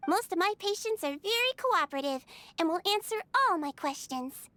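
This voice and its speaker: A woman voicing a small, sweet young female melusine nurse in a video game, with a childlike voice and a gentle, earnest, reassuring manner. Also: very high-pitched, expressive, normal speed, dry recording, heavily acted. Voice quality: childlike, girlish. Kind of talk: video game character voice lines. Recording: studio voice over game music.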